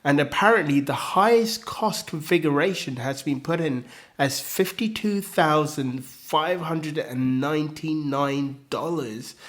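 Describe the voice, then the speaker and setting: A man hosting a solo tech news podcast, chatty and upbeat with frequent ums, his voice slightly croaky from a cold. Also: on the high side. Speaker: podcast host.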